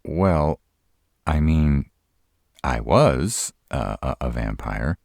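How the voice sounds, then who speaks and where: Guy doing a flustered sarcastic vampire, low voice, stammery n exasperated, dry close sound, roleplay. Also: gravelly, a little mellow, dry recording, heavily acted. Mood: uneasy. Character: nervous, sarcastic male vampire, flustered suitor. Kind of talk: roleplay audio acting.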